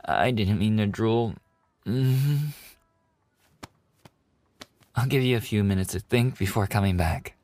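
A man's voice: fairly low pitch, slow pace, long pauses, a little mellow. A man voicing an eager, adorable young puppy-boy waiter in boyfriend ASMR roleplay, with a cutesy, bubbly, earnest way of talking. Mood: sweet, excitable.

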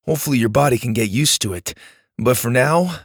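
A man's voice: medium pitch, a little fast, husky, no echo, studio quality. A man voicing a cautious, practical boy in a children's story, firm and urgent.

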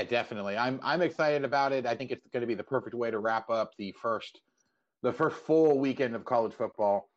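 Fast-talking man hosting a sports podcast. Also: medium pitch, muffled recording. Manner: wordy, stammering lead-ins to questions. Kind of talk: casual sports interview.

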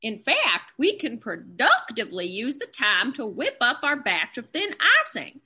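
A prim, perky homemaker co-hosting an old-time radio cooking show. She gushes politely in a high, bright voice with lively swoops. Every phrase is presented to the folks at home. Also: a little slow, breathy edge, full character voice. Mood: upbeat.

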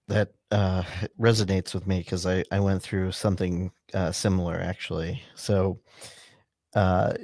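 Deep-voiced hesitant male interviewer. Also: a little mellow, no echo, studio quality. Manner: hesitant, many fillers and restarts when asking questions. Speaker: podcast host.

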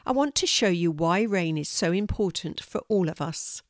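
A woman's voice: on the low side, animated, moderate pace, no echo, close to an everyday voice. Grandma reader as a self-assured girl adventurer in a storybook, encouraging, dry close sound. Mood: confident. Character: confident adventurous girl, rocket pilot friend.

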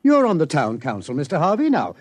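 Earnest astronomer, animated and formal. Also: male voice, on the high side, a little fast, breathy edge, full character voice. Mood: earnest, desperate. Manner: formal, precise, proper. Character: earnest, formal astronomer, anxious scientific gentleman. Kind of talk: old-time American radio drama acting.

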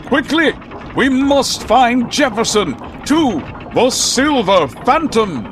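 Pompous, wildly swooping radio superhero. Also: male voice, very high voice, big pitch swings, takes it slowly, background noise, heavily acted. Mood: urgent. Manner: pompous, grandiose declaiming. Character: pompous, theatrical costumed vigilante superhero man, easily outraged. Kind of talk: 1940s radio serial acting.

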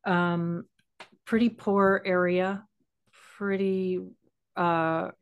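Lower-pitched American woman, thinking aloud. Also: flat delivery, very slow, smooth, highs cut off. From Texas, United States. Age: middle-aged. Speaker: podcast host. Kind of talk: reflective conversational discussion.

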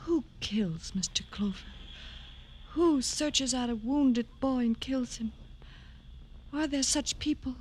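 A gentle young woman in mourning, speaks in a high, soft voice. She is earnest and deliberate, with the wistful delivery of a classic radio drama. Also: poor audio. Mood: sorrowful. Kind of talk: old-time radio drama acting.

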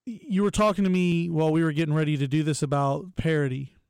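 A man's voice: on the high side, steady tone, fast, a little mellow, clear, resonant tone, no room sound, clean studio sound. A man hosting a casual sports podcast, talking in a playful, teasing and enthusiastic way. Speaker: podcast host.

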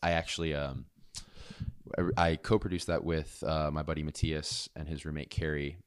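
A young man with a very deep, low voice talks casually about making music, relaxed and chatty, full of "like" and "dude". The sound is dry and close, with no room around it. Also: normal speed, clean tone, no room sound. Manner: laid-back, chatty, lots of "like" and "dude". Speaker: music artist and producer. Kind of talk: casual interview chat.

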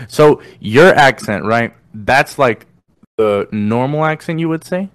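A young man whose first language is Spanish, hosting a casual podcast chat in slangy, animated talk, often saying "you know what I'm saying". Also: mid-range voice, takes it slowly, smooth, dry recording, studio quality. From Mexican American. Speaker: podcast host.

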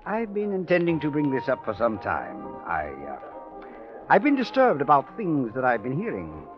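Stuffy polite older gent in old radio drama, suspicious, lots of pitch movement, muffled old recording. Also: male voice, wildly swooping pitch, moderate pace, music in the background. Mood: suspicious, stern. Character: formal, suspicious older gentleman watching over a couple.

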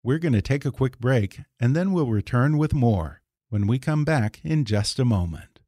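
A man's voice: mid-range voice, expressive, normal speed, warm tone, no echo, studio quality. A man hosting an interview in a conversational style. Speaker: interviewer, show host.

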